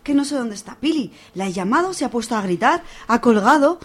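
A woman's voice: fairly high pitch, lively intonation, brisk. A woman acting a worried, assertive young woman in a radio serial, colloquial and defensive, with an accent from Spain. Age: young adult.

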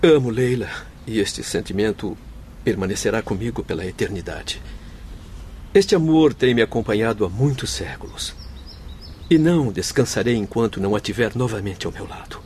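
An actor in a radio soap playing a noble, devoted doctor's spirit, speaking with formal, heartfelt solemnity. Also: male voice, lowish voice, animated, moderate pace, a little mellow, slight background noise, close to an everyday voice. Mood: yearning, devoted. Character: noble grieving Spanish doctor spirit, devoted forgiving husband. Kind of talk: radio soap opera acting.

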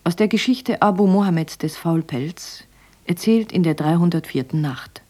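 An actress voicing a solemn storyteller who measuredly announces a radio play and recites from an old Arabian tale. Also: female voice, fairly low pitch, normal speed, warm tone, lightly acted. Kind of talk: radio drama title announcement and literary recitation.

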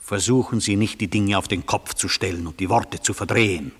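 A man's voice: on the low side, brisk, slightly rough. An actor playing a stern, sober judge in a German radio drama, firm, dry and formal as he questions a defendant. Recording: long hall-like reverb.

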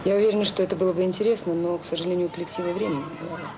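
Female orphanage director for Soviet radio drama, played by an actress: quick, lowish voice, courteous on the surface, pointedly ironic underneath. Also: fairly even intonation, heavy background noise, natural voice. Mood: politely ironic. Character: dutiful orphanage director, dryly ironic, firm with relatives.

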